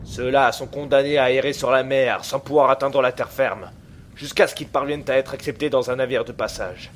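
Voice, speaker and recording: A man's voice: medium pitch, steady tone, fairly quick, close to an everyday voice. Grave ship's captain acted by a man, noisy ambience. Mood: grave, foreboding. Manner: firm, solemn, explanatory. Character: grave superstitious male ship's captain, steady, commanding. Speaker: actor in an audio drama. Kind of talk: dramatic dialogue, audio fiction.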